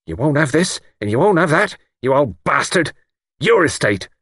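A man voicing a tipsy, insolent young working-class chauffeur in an audiobook, talking in a rough, loose-tongued way. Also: sits high, moderate pace, no echo, studio quality, pitched above the performer's own voice. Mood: insolent, angry.

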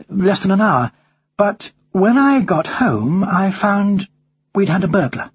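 A gentle, caring mother, acted by an audiobook narrator, explaining softly and steadily. Her voice is lightly raised and very breathy, in a slightly dull storybook recording. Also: medium pitch, no room sound, pitched above the performer's own voice. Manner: soothing, careful explaining.

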